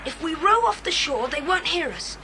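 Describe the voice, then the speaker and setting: A brisk, commanding young boy in a children's adventure drama. The voice is high and lively, earnest in giving orders, on a noisy old cassette. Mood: urgent, commanding. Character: Jack, bold decisive boy leading the children. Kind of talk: children's audiobook drama acting. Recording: hissy, rumbling 1980s cassette transfer.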